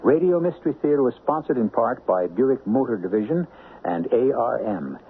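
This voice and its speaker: A man's voice: mid-range voice, animated, moderate pace, breathy edge. A man reading scripted credits and sponsor notices as a radio announcer. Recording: muffled old radio, faint noise.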